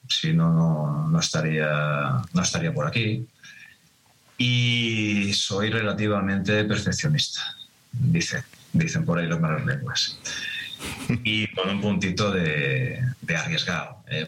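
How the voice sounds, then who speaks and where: A man's voice: low-pitched, takes it slowly, a bit bright, a little hiss or hum, rough recording. A middle-aged man from Madrid with a Madrid Spanish accent, a winemaker answering interview questions in a reflective, hesitant way with many restarts. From Madrid, Spain.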